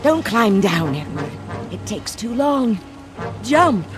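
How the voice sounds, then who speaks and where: An actress playing a sinister, seductive dream temptress in an audio drama, coaxing and insistent. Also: female voice, medium pitch, very animated, takes it slowly, over music. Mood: thrilled, menacing.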